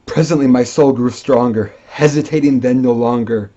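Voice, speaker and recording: A young man giving a theatrical, brooding recitation of gothic horror poetry, moving between hushed and loud delivery. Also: medium pitch, normal speed, highs cut off, noisy background. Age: young adult. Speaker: performer reciting a poem. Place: furnished basement living room.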